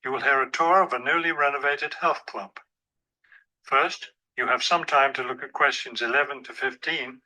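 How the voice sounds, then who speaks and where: A man's voice: mid-range voice, moderate pace, pushed and bright, poor audio. A man reading scripted listening-test instructions as an exam narrator.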